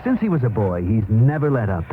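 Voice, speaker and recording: A man's voice: fairly low pitch, big pitch swings, a little fast, narrow, tinny audio, very noisy. A man narrating a scripted 1970s radio commercial as an announcer. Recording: thin old radio sound, loud music. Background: loud music.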